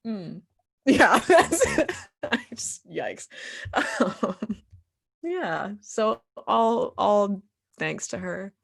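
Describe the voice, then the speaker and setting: A woman guest performer answering questions in a casual, expressive, slangy and giggly way at a post-show talkback. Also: mid-range voice, drawn-out words, vocal fry.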